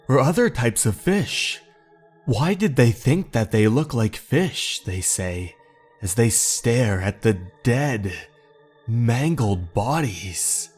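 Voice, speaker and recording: A man narrating a horror story in a measured, dramatic storytelling manner. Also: mid-range voice, darkish tone, breathy edge. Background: faint music.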